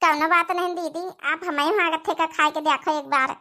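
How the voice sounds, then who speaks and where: A woman's voice: extremely high pitch, steady tone, normal speed, sharp tone. A woman voicing a sweet, coaxing young village daughter-in-law, deferential and pleading, with an Awadhi accent in a cartoon comedy. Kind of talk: dialect cartoon comedy acting.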